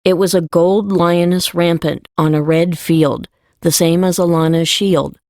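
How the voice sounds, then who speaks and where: Low-pitched woman narrating a story. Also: steady tone, unhurried, darkish tone, dry recording, clean studio sound. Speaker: audiobook narrator. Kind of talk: scripted fantasy storytelling narration.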